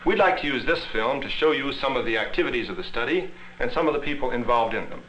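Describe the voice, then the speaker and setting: A man's voice: medium pitch, lively intonation, fast, fairly bright tone. An American man in his thirties presenting an educational film in a scripted narrating style. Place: classroom laboratory film set. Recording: muffled, hissy 1960s film soundtrack.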